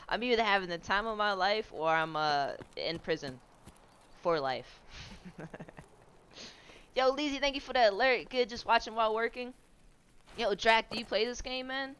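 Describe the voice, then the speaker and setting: Woman, 20s, low voice, headset mic, home streamer, casual swearing banter, smooth clear tone. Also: moderate pace, long pauses, a bit bright. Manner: laid-back, swearing, chatting with viewers, laughing often. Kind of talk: casual chat while gaming.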